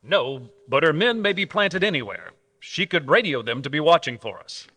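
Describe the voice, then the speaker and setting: A man playing a steady, fair-minded adventure hero in an old-time radio drama. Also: on the high side, moderate pace, breathy edge, in a large hall, heavily acted. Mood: calm, resolute.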